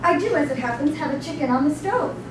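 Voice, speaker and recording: An actress as a dutiful housemaid with a high voice, answering tersely and drily. It is a staged play reading, picked up at a distance in an echoey room with clear noise. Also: female voice, fast talker. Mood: deadpan, dutiful. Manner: terse, deadpan, restrained answers. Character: stoic, dutiful, dryly deadpan female domestic servant. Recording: echoey room from afar, noisy.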